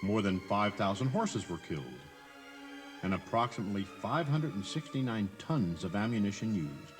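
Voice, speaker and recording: Animated male tour narrator, theatrical delivery. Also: lowish voice, normal speed. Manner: theatrical, emphatic storytelling with rhetorical questions. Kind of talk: dramatized scripted narration. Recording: 1980s cassette tour tape, music behind.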